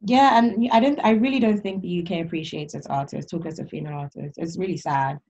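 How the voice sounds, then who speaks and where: Woman, dark husky voice, dull lo-fi recording, emphatic chat. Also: fairly low pitch, fast. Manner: agreeing, emphatic interjections. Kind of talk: casual chat. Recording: dull lo-fi sound.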